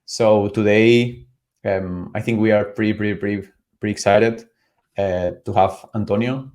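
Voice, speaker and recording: A man's voice: fairly low pitch, fairly even intonation, normal speed, a little mellow. Casual man host, filler-heavy questions. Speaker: podcast host. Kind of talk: casual interview chat.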